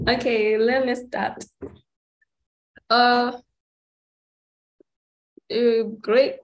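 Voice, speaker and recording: A student from Zimbabwe presenting over a video call, speaking hesitantly with restarts. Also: female voice, a little high, little pitch movement, extremely slow, low-quality recording.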